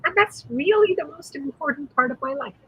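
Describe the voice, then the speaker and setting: A woman's voice: high voice, fairly quick, a little hiss or hum, poor audio. A woman from Iran, whose first language is Persian, speaking expressively and with some sass as a guest on a video-call panel conversation. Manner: expressive, sassy, earnest. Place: home room.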